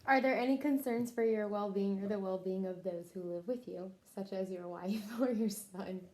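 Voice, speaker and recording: A woman's voice: mid-range voice, normal speed, dark tone. A woman conducting a health history interview in the manner of a clinical questionnaire, as a nurse taking down a patient's answers.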